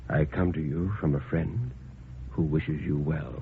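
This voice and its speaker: A man playing a quiet, respectful and secretive messenger, speaking in measured, evasive phrases in a 1950s radio horror play. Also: rumbling low, steady tone, slight background noise, voice pushed down. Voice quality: quiet, hushed. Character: quiet, secretive, clerkish male messenger with unsettling reserve. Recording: tinny, narrow-band 1950s radio recording. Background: dramatic background music.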